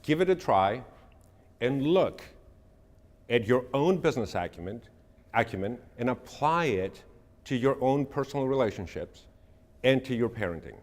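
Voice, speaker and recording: A middle-aged man from Greece, giving a measured, earnest presentation and answering audience questions. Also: mid-range voice, lively intonation, in a large hall. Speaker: speaker.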